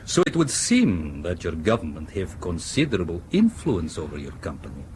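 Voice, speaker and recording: An actor in a radio drama playing a suspicious foreign ship's captain and scientist who speaks in a measured, probing, ironic way. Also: male voice, low voice, wildly swooping pitch, darkish tone, slight background noise. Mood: sardonic, pressing.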